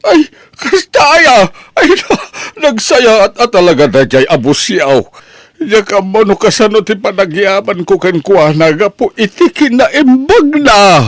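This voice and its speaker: Man acting high-voiced emotional doting father. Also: very animated, a little slow, dry recording, clean studio sound. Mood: overjoyed, tearful. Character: emotional, doting rural father in a family drama. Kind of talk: Ilocano radio-style drama acting.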